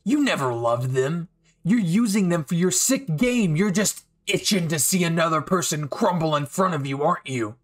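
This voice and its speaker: A man's voice: fairly high pitch, expressive, normal speed. A man playing an unhinged, bitter anime villain with a menacing, taunting manner, nursing an old betrayal in a dramatic fan roleplay. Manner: menacing, taunting, swinging from quiet to outbursts.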